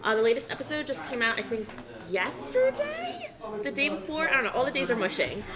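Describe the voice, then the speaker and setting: Lively high-voiced woman amid crowd noise. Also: a little fast. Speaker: guest player, podcast host. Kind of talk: casual chat at a live game panel. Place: busy gaming convention, live event. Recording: live convention hall with crowd noise.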